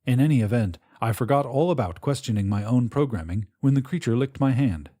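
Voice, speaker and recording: A man narrates a short story in the first person as an audiobook reader. His voice is mellow and mid-pitched, with plenty of movement in the intonation. The delivery is measured and precise, with a gentle storytelling touch. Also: expressive.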